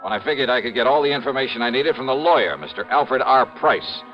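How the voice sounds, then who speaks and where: A tough, sardonic American investigator, acted by a man in an old-time radio detective show. His casual, probing delivery is very lively in pitch, on a muffled vintage recording with music at times. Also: quick pace, close to an everyday voice. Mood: wry, narrating. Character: wry, tough freelance insurance investigator, skeptical and probing. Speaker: lead actor and narrator. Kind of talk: 1950s radio detective drama acting.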